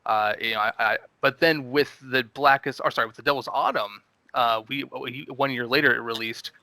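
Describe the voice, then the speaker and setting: Earnest man in his thirties, crisp and bright. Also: medium pitch, moderate pace, no echo. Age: 30s. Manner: earnest, encouraging, many restarts. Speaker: guest filmmaker. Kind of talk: casual group video chat.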